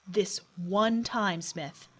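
An actress playing a stern, impatient afterlife agent in an audio drama, curt and officious. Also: female voice, medium pitch, noisy background.

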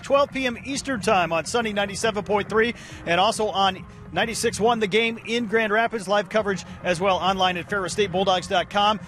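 A man's voice: sits high, a bit bright. A middle-aged man hosting a sports preview with polished broadcast announcer delivery, speaking outdoors into a handheld microphone. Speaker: team play-by-play announcer and host. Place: outdoors in an open football stadium. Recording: outdoor noise behind voice.

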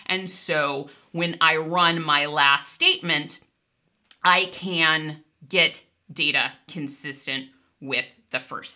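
A woman in her forties explaining a technical topic in a lively, conversational video lesson, gesturing as she talks. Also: mid-range voice. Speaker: database instructor.

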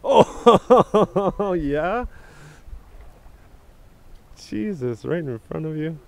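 A man vlogging outdoors by a small creek while fishing, explaining his setup in a relaxed, casual way. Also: fairly high pitch, drawn-out words, gravelly. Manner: relaxed, explains steps, excited shouts at fish. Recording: tinny, lo-fi with outdoor noise.

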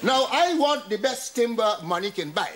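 An actor with a Guyanese accent playing a pompous, boastful older barber in a sitcom. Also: male voice, high-pitched, animated, moderate pace, a bit bright. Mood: grandiose. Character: boastful older male barber, self-important. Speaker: barber shop owner. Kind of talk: sitcom acting.

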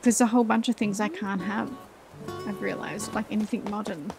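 A woman's voice: medium pitch, fairly even intonation, normal speed, gravelly, loud music behind the voice. A woman in her forties chatting casually and self-deprecatingly while she plays a game, thinking aloud. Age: 40s. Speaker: let's play host. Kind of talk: casual gameplay commentary.

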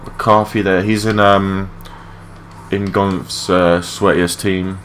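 A young man with a low voice giving rambling, matey, sweary gameplay commentary in a casual unscripted way. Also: level tone, slow, fairly bright tone. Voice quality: low-pitched voice. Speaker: gaming video creator. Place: his room at home. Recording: clearly noisy home mic recording.

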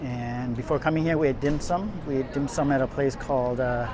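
A man's voice: medium pitch, steady tone, fairly quick. A man narrating a casual walking tour outdoors on city streets, rambling along with many fillers and restarts while he walks and films. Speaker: vlogger filming a walking tour. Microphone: camera held while walking, speaker off screen. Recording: outdoor street with crowd noise. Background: crowd chatter and passing traffic.

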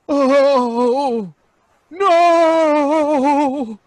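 A man's voice: very high voice, no echo, full character voice. A man playing a fearful, superstitious rustic townsman who stammers, in an old-time radio horror play. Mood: terrified. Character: nervous, superstitious rustic townsman, reluctant sidekick.

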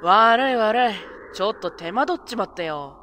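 A woman's voice: medium pitch, lively intonation, normal speed, sharp tone, slight background noise. A woman playing a careless, tomboyish young witch in an anime-style fan voice drama, talking in a casual boyish way. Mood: breezy. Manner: casual, boyish rough speech.

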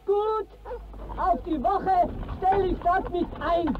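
A woman's voice: very high voice, slow. A woman acting an indignant, stubborn middle-aged village mother in a 1950s radio drama. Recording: noisy, muffled vintage radio recording.

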